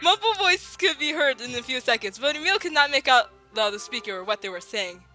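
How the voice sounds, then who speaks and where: A woman reading fan fiction aloud in a giggly, animated way. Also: sits high, brisk. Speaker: reader. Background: faint classical music.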